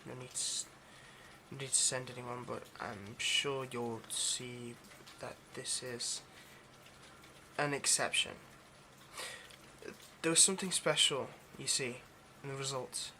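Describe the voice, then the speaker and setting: A man's voice: medium pitch, steady tone, slow, background noise. A man playing a nervous, obsessive vampire lab technician in an intimate ASMR roleplay, with hesitant, stammering, overly polite delivery. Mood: polite, awkward.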